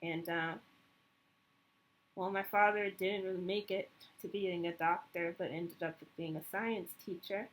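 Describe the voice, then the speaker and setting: A woman talking slowly through a painful personal memory, pausing often and searching for words. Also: lowish voice, fairly even intonation, poor audio. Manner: halting, searching for words, many pauses and fillers. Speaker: vlogger. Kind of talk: personal reflective monologue.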